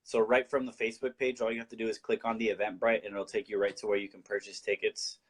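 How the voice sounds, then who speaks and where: Husky low-voiced man, earnest guest. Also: little pitch movement, fast talker. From Colombia. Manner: earnest, explanatory, with frequent restarts. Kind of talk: casual talk-show discussion.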